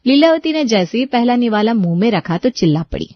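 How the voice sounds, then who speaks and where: A woman's voice: a little high, very animated, a little fast, no echo, lo-fi sound. A woman storyteller reading scripted narration for a family moral story.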